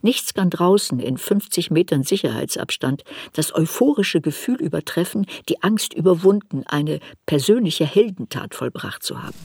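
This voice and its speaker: A woman reading scripted literary narration for a radio play in a measured, reflective way. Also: deep voice, lively intonation, a little mellow, husky, no room sound.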